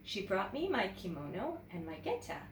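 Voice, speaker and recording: A woman's voice: medium pitch, big pitch swings, normal speed, natural voice. A woman voicing an earnest, proud little first-grade girl in a storybook, explaining sincerely. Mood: proud, eager. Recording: roomy echo, distant mic, faint noise.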